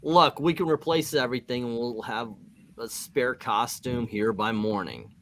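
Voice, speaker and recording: A man's voice: medium pitch, unhurried, a bit bright. A man doing a casual, jokey and hammy read-aloud of a comic book. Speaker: channel host reading comics aloud.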